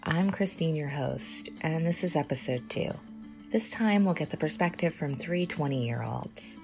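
A middle-aged woman hosting a podcast, reading a scripted introduction in an earnest, measured way. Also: deep voice, animated, gravelly, over music. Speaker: podcast host.

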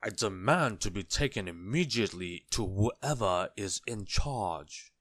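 A man puts on a pompous, ill-tempered storybook grump. He snaps haughtily and stretches his words with scorn, in a low, dry voice. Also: very animated, a bit bright, no echo. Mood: indignant rage. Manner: haughty, drawn-out snapping. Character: rude, pompous, miserable man, easily enraged. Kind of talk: children's storybook character voice.

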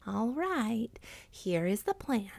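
Storybook cat: clever, scheming male, confident and persuasive, gravelly, dry close sound; a woman reader putting him on. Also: very animated, takes it slowly, darkish tone, no room sound, full character voice. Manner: confident, explanatory, slightly raised pitch.

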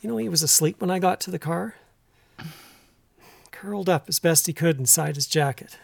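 A middle aged canadian country husband, troubled and halting, plain folksy talk, really dry sound, radio play. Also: male voice, on the high side, vocal fry, dry recording. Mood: hushed, troubled. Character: troubled, conscience-stricken middle-aged rural husband and father. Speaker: actor. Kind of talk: naturalistic audio drama acting.

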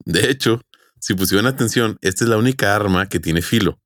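A man's voice: lowish voice, brisk, a bit bright, no echo, clean studio sound. A man with a Mexican accent co-hosting a podcast, telling things casually with slang and a teasing manner. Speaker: podcast co-host. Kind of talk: casual storytelling.

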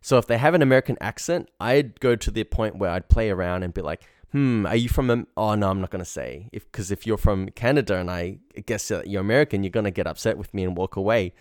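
A man's voice: lowish voice, fast, few pauses, a little mellow, dry recording, studio quality. A man hosting as a confidence coach, giving informal instruction in a blunt, slangy way. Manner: blunt, slangy, acts out example lines. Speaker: dating confidence coach, show host.

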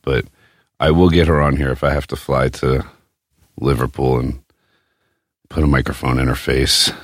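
A man's voice: a bass voice, little pitch movement, normal speed, dry recording, studio quality. A man hosting a casual podcast chat, talking in a brash, crude, joking way. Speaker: podcast host.

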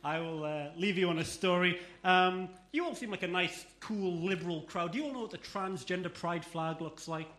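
Bright, wry comic in echoey club. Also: male voice, sits high, moderate pace, few pauses, a little hiss or hum. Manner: wry, storytelling delivery. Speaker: stand-up comedian. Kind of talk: stand-up set. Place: comedy club stage.

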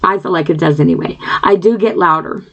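A woman hosting a podcast, talking earnestly from home into her computer microphone, wandering off topic with lots of fillers and throat clearing, her mouth dry. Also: lowish voice, brisk, fairly bright tone, lo-fi sound. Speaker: podcast host. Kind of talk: rambling personal monologue.

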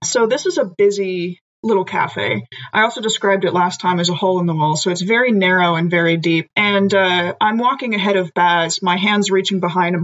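A woman's voice: on the low side, highs cut off. A woman playing a tabletop game, chatting and thinking aloud as she describes her character's actions. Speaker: player. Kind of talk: casual tabletop play and in-character narration.

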